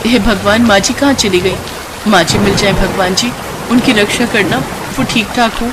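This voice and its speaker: A woman voicing a young Indian housewife and mother in a cartoon story, caring but harried, with a brisk, nagging manner. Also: mid-range voice, background noise, natural voice. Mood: anxious. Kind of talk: Hindi cartoon moral story acting.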